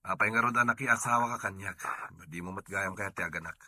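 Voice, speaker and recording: A man playing a frustrated, dutiful husband longing for children in an audio drama, earnest and complaining, with an Ilocano accent and a husky voice. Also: low voice, fast, bright, forceful tone, no room sound, clean studio sound, heavily acted. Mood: pleading, frustrated.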